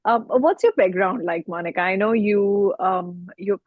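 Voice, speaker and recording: A woman's voice: medium pitch, a little slow, no echo, phone-quality sound. A woman hosting an online interview show, greeting her guest and asking questions with frequent affirming hums. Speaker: talk show host.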